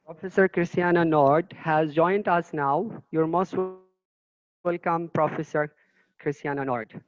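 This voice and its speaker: A man hosts a webinar and welcomes a guest with hesitant, repetitive phrasing. His voice sits higher than usual. The audio is muffled and lo-fi. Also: fairly even intonation, unhurried, music in the background. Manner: hesitant, repeats himself, many "uh"s. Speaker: webinar host.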